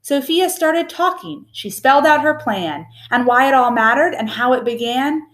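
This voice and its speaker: A woman reads a children's picture book aloud expressively, with a measured, rhythmic delivery of rhyming verse. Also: sits high, expressive, fairly bright tone.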